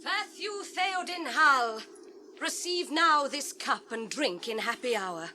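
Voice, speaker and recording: Bright-voiced, noble royal lady. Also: high-pitched, animated, slow pace, a little hiss or hum, full character voice. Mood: solemn. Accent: British. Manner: ceremonial, earnest. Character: noble young lady of a royal hall, steadfast. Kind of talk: formal fantasy radio play acting.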